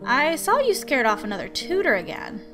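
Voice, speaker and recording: A woman's voice: high voice, huge pitch swings, normal speed. A woman voicing an exasperated, dutiful guardian in a visual novel, dry and scolding. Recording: music behind the voice.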